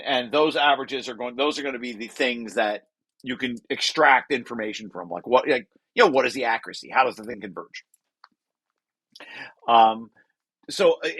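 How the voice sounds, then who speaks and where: A man presenting a technical paper aloud, reading and adding explanations in a halting way with self-corrections. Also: medium pitch, pushed and bright, dry recording, poor audio. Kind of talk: technical table read with explanatory asides.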